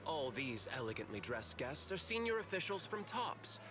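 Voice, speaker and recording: A man playing a courteous, composed corporate manager in a video game, speaking in polite, measured explanations. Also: on the high side, very animated, over music. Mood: composed, explanatory.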